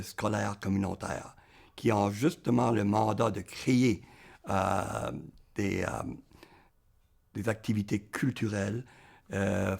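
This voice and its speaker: A man from Canada, an education professional explaining in an interview in a halting, hesitant way. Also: lowish voice, vocal fry, a little mellow.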